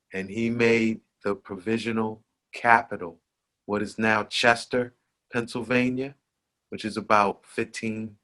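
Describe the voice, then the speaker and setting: A man with a lowish voice hosts a relaxed history conversation. He speaks very slowly and unhurriedly. His talk is dotted with "you know" and "uh" as he thinks aloud. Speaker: host.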